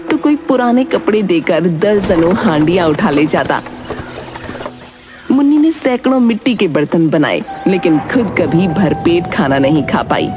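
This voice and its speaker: A woman narrating a scripted children's story as the storyteller. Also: on the low side. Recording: background music under the voice.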